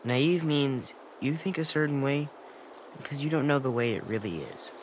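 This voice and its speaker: Man acting as young boy artist, earnest, soft-spoken, game drama, clear ambience behind. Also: medium pitch, animated, moderate pace, background noise, lightly acted. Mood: somber, earnest.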